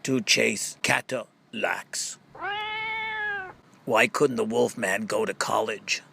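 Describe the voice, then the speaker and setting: Gravelly man reading jokes and riddles. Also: lowish voice, steady tone, slow pace, background noise. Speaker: audiobook reader. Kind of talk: scripted reading of question-and-answer jokes and riddles.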